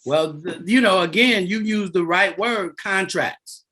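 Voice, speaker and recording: A man in his fifties, a guest on a podcast, explaining finance in an animated, emphatic way and repeating his key words. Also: sits high, a little slow. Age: 50s. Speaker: guest, insurance and debt author.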